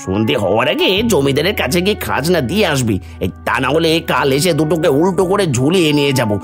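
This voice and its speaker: A landlord's bullying henchman from a cartoon fairy tale, acted by a man, with hugely swinging pitch. He is impatient and threatening as he demands things, with music playing behind him. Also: sing-song, fast talker. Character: bullying male henchman collecting rent for a landlord. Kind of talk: animated folk tale acting.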